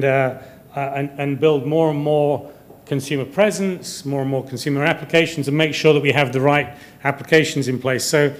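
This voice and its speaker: A man in his fifties from Kuwait giving a conference presentation to developers in a hesitant, rambling way, often repeating words. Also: fairly high pitch. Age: 50s. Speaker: conference speaker, software company director. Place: hotel conference room, indoors.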